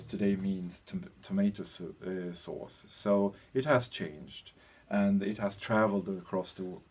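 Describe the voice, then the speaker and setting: Low, husky male lecturer, halting delivery. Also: darkish tone. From Europe. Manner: halting, hesitant phrasing with pauses. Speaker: language teacher, lecturer. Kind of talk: informal explanatory lecture.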